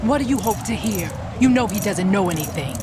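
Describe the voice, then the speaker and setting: A woman's voice: medium pitch, animated, fairly quick, noisy background, natural voice. An actress playing a tough, defiant female mercenary leader in a video game, bitter and suspicious, with a pointed, sardonic delivery. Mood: challenging. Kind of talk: dramatic video game voice acting. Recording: dramatic music behind.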